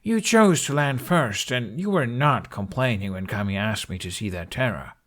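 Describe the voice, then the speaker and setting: Man playing a whiny, animated teenage boy. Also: medium pitch, moderate pace, lightly acted. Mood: indignant. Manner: exasperated, protesting, emphatic. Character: impatient, whiny, indignant teenage boy dragon rider.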